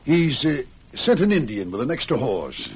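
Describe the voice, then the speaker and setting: An actor playing an earnest, firm but kind prison warden in the Old West, in a measured radio western style. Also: male voice, mid-range voice, very animated, slight background noise, lightly acted. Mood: earnest, persuading. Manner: persuasive, measured, often addresses listener by name.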